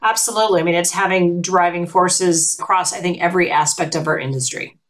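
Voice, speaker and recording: A woman's voice: lowish voice, steady tone, brisk. A woman hosting a corporate interview podcast, affirming her guest and leading into new topics with enthusiasm. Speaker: podcast host.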